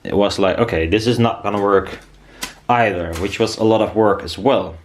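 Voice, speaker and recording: A man's voice: fairly low pitch, moderate pace, background noise. A man giving a casual workshop demonstration as a DIY maker, rambling and thinking aloud as he goes. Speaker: DIY maker presenting a build video.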